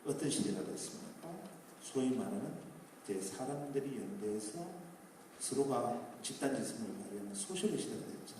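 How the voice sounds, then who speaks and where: A man's voice: mid-range voice, lively intonation, normal speed, darkish tone. Man, public lecture, rhetorical questions, roomy hall, distant mic, faint noise. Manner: rhetorical questions, rising emphasis. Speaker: speaker at a public talk concert. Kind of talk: lecturing to a live audience.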